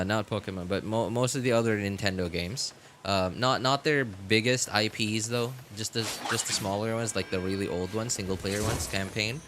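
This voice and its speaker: A man's voice: fairly low pitch, a bit bright, a little hiss or hum. A man chatting casually as a game streamer, rambling and thinking aloud to his viewers. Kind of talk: casual rambling livestream chat.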